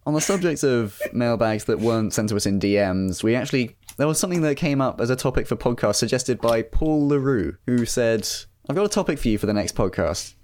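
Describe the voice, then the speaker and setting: A man's voice: mid-range voice, lively intonation, a little fast, hardly any pauses, darkish tone, slight background noise. A man hosting a casual podcast, steering the conversation and reading out listener messages. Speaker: podcast host.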